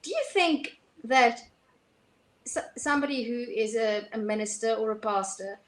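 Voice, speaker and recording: A woman's voice: on the high side, normal speed. Woman, thoughtful and hesitant. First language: Afrikaans. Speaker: host. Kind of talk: casual conversation.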